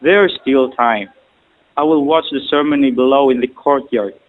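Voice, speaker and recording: A man's voice: mid-range voice, moderate pace, narrow, tinny audio, close to an everyday voice. A man playing a courtly, romantic emperor in an audio drama, speaking formally and poetically. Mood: tender, wooing. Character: romantic emperor, courtly, tender, dreamy ruler. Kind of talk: stage-style audio drama acting.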